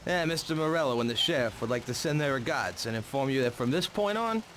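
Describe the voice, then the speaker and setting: A man's voice: mid-range voice, animated, fast, fairly bright tone, slight background noise, close to an everyday voice. A man playing a smug, menacing henchman in a 1930s gangster video game, speaking with mocking politeness. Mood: gloating. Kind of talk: dramatic video game voice acting.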